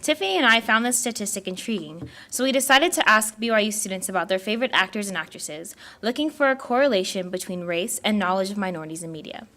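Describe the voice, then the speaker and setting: A young woman, a student presenter, reading a prepared talk in a steady, formal way. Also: mid-range voice, quick pace, hardly any pauses. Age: young adult.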